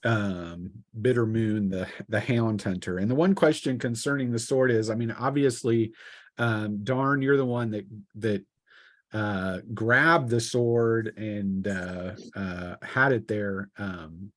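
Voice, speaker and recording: A man narrating a tabletop role-playing game as game master, in a halting, hesitant delivery with improvised phrasing. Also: fairly low pitch, no room sound, studio quality.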